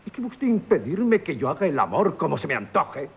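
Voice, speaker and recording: An actor playing a proud, sarcastic rich count, a challenging rival suitor, in a theatrical radio comedy. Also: male voice, a little high, sing-song, fairly quick, creaky, a bit bright, slightly rough, exaggerated voice. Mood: defiant.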